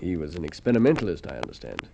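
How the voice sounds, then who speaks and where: Pompous doctor, faintly noisy recording. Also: male voice, low-pitched, very animated, racing, gravelly, heavily acted. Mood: professional. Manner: precise, formal, slightly pompous. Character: educated, pedantic male police doctor, easily affronted. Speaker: actor in a radio crime drama. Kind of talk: Golden Age Australian radio drama acting. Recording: faintly noisy old radio recording with clicks.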